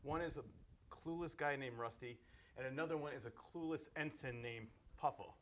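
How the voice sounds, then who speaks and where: A man with a medium-pitched voice chats casually as a guest voice actor. There is some background noise and clicking in the recording. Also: normal speed. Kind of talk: casual chat.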